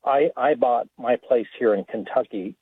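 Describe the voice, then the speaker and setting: A man's voice: medium pitch, moderate pace, no room sound. A man calling in to a radio show, chatty and indignant, chuckling as he talks. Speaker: caller. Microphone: phone line. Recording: tinny, husky phone line.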